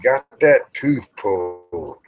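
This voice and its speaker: Slow, folksy man, muffled audio. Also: fairly low pitch, lively intonation. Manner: folksy, grumbling, drawn-out with pauses. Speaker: livestream host. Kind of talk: rambling casual livestream chat with viewers. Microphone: small microphone near the face. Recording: dull, muffled livestream audio.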